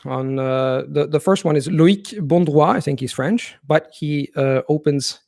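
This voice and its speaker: A man hosting a live viewer Q&A. His voice sits a little high for a man, and his explanations are earnest and long. The sound is dry and close, with no room echo. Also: unhurried, creaky, a little mellow. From Italy. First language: Italian. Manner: thoughtful and earnest, explaining at length. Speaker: language-learning channel host. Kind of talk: live Q&A, reading viewer questions and answering.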